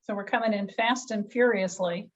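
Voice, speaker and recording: A woman's voice: mid-range voice, moderate pace. A woman hosting a webinar over a video call, talking in a chatty, enthusiastic and self-deprecating way. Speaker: webinar host. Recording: dull lo-fi video call audio.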